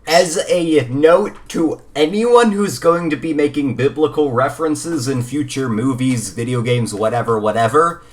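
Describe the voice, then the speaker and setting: A man with a middle-pitched voice talks as a podcast co-host. His delivery is conversational and opinionated, with an explanatory bent and a readiness to correct. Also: a little slow, few pauses. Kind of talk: casual podcast discussion.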